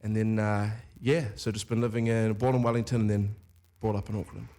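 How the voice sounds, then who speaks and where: A man in his twenties from New Zealand with a New Zealand accent, answering interview questions about his music in a relaxed way in a studio. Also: on the low side, a little fast. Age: 20s. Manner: relaxed, with frequent "uh" fillers. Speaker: musician guest. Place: radio studio. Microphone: studio microphone with headphones.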